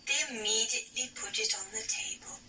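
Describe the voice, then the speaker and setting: A woman narrating a scripted fairy tale for a children's puppet show, with theatrical, deliberate phrasing. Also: on the high side, bright, forceful tone, recorded from a distance, faint music behind.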